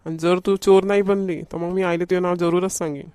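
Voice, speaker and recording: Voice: mid-range voice, level tone, brisk, heavy background noise, lightly acted. A performer voicing a food-loving, whiny young schoolboy in a homemade comedy cartoon, with a Varhadi Marathi accent. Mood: teasing, bossy. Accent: Varhadi Marathi from Vidarbha.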